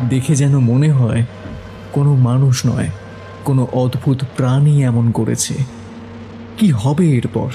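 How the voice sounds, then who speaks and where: A man's voice: fairly high pitch, mellow. A man narrating a scripted horror story in a suspenseful storytelling style. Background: background music.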